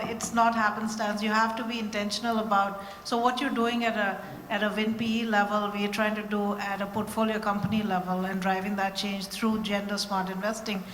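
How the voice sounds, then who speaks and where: A woman's voice: medium pitch, a bit bright. A woman in the audience makes an earnest, persuasive comment from the floor. Her voice sits mid-range for a woman. It echoes through a large hall with a long reverb and faint background noise. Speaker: audience member.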